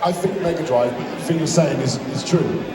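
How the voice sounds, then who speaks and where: A man's voice: fairly high pitch, fairly quick. Playful male panelist, far off mic, crowd murmuring behind. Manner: playful, stumbling restarts. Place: large convention hall, live panel. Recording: echoey hall from far off, crowd.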